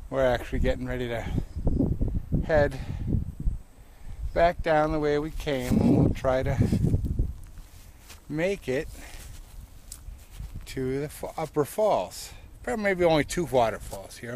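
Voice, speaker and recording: A man's voice: medium pitch, lively intonation. A middle-aged man rambling to a handheld camera as he walks a wooded trail, commenting on the hike. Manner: slow, rambling commentary to the camera while walking. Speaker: hiking vlogger. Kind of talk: casual hiking vlog narration. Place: wooded trail outdoors by a stream. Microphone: handheld action camera at arm's length. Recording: noisy outdoor camera audio.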